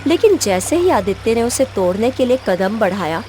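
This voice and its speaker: A woman's voice: on the high side, sing-song, moderate pace. A woman storyteller giving scripted, dramatic narration of a fairy tale. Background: background music.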